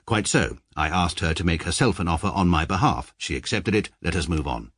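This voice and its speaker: An English actor playing a stiff, pedantic middle-aged male barrister who narrates in a dry, clipped, deadpan manner for a radio comedy drama. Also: deep voice, brisk, dry recording, full character voice.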